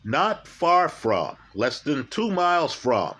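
A man's voice: fairly high pitch, very animated, a bit bright, slightly rough. A man talking through a criminal case, stressing key facts and checking in with his listeners. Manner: slow, emphatic, repeats key facts and asks "right?". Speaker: true crime commentator. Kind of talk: true crime case commentary.

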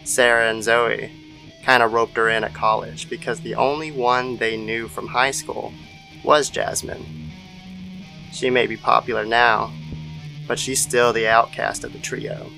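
A man reading a scripted dark story as narrator, in the manner of an old-time radio show. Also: medium pitch, level tone, measured pace, vocal fry, poor audio. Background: soft guitar music.

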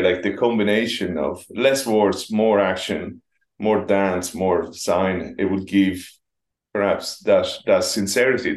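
Deep-voiced host, roomy echo. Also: male voice. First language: not English. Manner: measured, reflective, gently questioning. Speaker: podcast host. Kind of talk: interview conversation.

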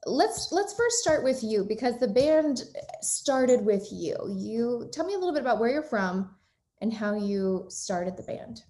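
A woman hosting a casual interview, chatty and quick to laugh, with some filler words. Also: a little high, very animated, warm tone. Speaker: interview host.

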